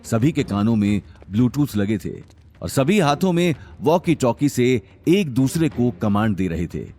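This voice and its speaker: A man's voice: medium pitch, sing-song, measured pace, dark tone, faint music behind. A man narrating a scripted audio drama in a dramatic storytelling style.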